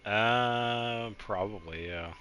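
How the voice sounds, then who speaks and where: A man's voice: on the low side, very slow, bright, forceful tone. A man giving casual, offhand playthrough commentary, with wry asides. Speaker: gaming streamer.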